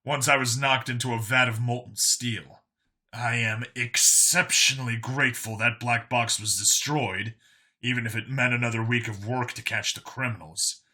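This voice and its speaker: A man's voice: medium pitch, steady tone, normal speed, bright, forceful tone. A man voicing a world-weary clone cop in an audio story, burdened by guilt and explaining things matter-of-factly. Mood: grim, resigned.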